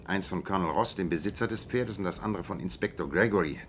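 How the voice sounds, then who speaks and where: A man playing a keen, analytical gentleman detective in a German radio play, speaking in a precise, articulate, lecturing manner. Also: low-pitched, fast talker, a little hiss or hum. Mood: assured, explanatory.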